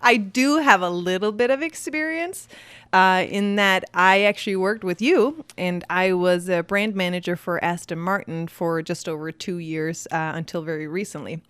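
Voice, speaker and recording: A woman's voice: fairly low pitch, moderate pace, fairly bright tone, clean tone, dry recording. A woman from Denmark with a Danish accent, chatting casually as a podcast guest with many fillers and easy laughs. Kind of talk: casual chat.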